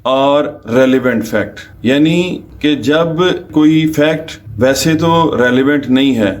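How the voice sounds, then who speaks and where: A man's voice: mid-range voice, slow. A man from Pakistan with a Pakistani accent, lecturing as a lawyer in a methodical, step-by-step way and mixing in English legal terms. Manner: methodical, explains step by step with restatements. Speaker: lawyer giving a law lecture.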